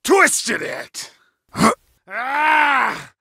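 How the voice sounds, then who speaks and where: An actor playing a brutal bearded berserker warrior in a video game, bellowing taunts and pained cries. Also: male voice, extremely high pitch, sing-song, very slow, gravelly, breathy edge, no room sound, clean studio sound, exaggerated voice. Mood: fierce. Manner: bellowing, taunting battle shouts. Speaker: game character. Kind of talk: video game combat barks.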